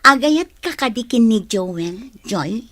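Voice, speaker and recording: An actress playing an inquisitive, concerned young woman in a radio drama, expressive and probing. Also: fairly high pitch, slow, exaggerated voice. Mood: curious, probing.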